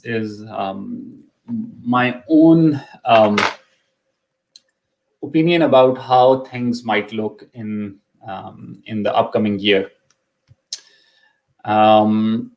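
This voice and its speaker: A man's voice: mid-range voice, poor audio. A middle-aged man whose first language is Urdu, hosting a live immigration session and talking casually with many ums and restarts, a little sick with a cough. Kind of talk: casual live-stream talk.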